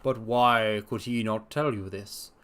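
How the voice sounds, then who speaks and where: Man voicing a measured, polite gentleman doctor. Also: on the low side, steady tone. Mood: polite, puzzled. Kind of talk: dramatic audiobook-style character reading.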